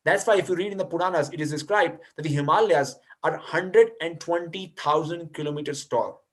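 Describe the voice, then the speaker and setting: A man's voice: fairly high pitch, fairly quick. A young man, a guest speaker on a video call, explaining ideas to an audience with frequent hesitant restarts. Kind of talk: lecture and answering audience questions.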